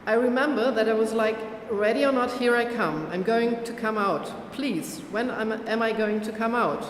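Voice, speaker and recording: A woman in her forties, from Germany, reading a script aloud in a plain, measured way. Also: on the high side. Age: 40s. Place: gallery room, indoors. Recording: echoey gallery room with noise.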